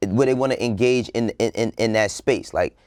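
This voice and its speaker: A man's voice: lowish voice, normal speed, darkish tone, no echo, clean studio sound. A man co-hosting a casual panel show, talking bluntly in slang with swearing and repeating himself for emphasis. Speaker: show co-host giving opinions.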